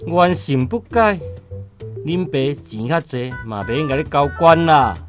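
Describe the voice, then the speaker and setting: A gruff Taiwanese shop customer, very low and slow. The figure is insulting, in radio drama style. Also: female voice, lively intonation, music in the background. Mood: contemptuous.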